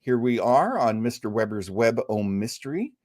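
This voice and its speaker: A man's voice: medium pitch, sing-song, normal speed, a little mellow, dry recording, clean studio sound. A man hosting a casual live stream, talking in an enthusiastic, rambling way and acting out bits of conversation. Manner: enthusiastic, rambling, acts out quotes. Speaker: livestream host.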